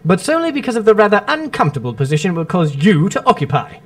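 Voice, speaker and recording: An actor playing a shrewd, imperturbable gentleman detective in a radio drama, speaking drily and urbanely with small hesitant murmurs. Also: high voice, sing-song, very fast. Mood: coolly defiant. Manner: urbane, dry, hesitant interjections.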